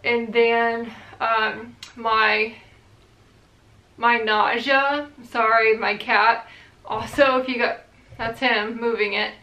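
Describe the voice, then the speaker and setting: A young woman chatting casually to her channel's viewers, relaxed and rambling with plenty of fillers. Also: fairly high pitch, slow, roomy sound, dull audio. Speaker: vlogger. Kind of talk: casual chat to camera.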